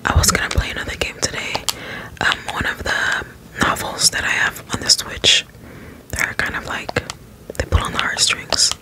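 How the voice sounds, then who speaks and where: A woman whispering soft, rambling ASMR commentary over gameplay in an unhurried way. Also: low-quality recording. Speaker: let's play streamer.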